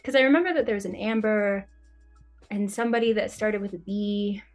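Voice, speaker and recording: A woman's voice: medium pitch, a little fast, soft background music. A young woman from Tennessee, a guest on a casual podcast, laid-back and self-mocking, laughing often. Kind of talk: casual interview chat.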